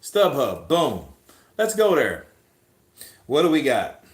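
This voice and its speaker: A man hosting a boxing livestream, chatting casually in a rambling, profane, animated way. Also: mid-range voice, slow pace, roomy sound.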